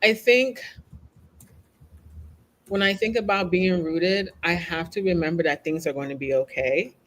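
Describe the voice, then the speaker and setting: A woman's voice: fairly low pitch, a little slow. A woman speaking as a podcast guest, talking openly and reflectively, often checking in with "right?". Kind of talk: candid conversational interview.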